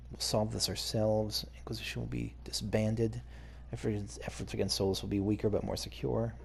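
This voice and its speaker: A man's voice: lowish voice, vocal fry, a little mellow, a little hiss or hum. A man giving casual video game playthrough commentary, hesitantly thinking aloud as he goes. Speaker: gaming video host.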